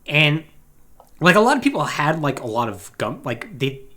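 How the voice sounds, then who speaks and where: A man co-hosting a podcast in casual chat, talking in an animated, emphatic, conversational way. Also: fairly high pitch, moderate pace. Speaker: podcast co-host.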